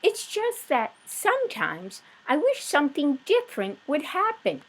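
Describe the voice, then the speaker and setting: A woman voicing an excitable, doting married woman from a children's storybook, with a gushing, tender manner. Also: high voice, unhurried, voice pushed up, exaggerated voice, low-quality recording. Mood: wistful.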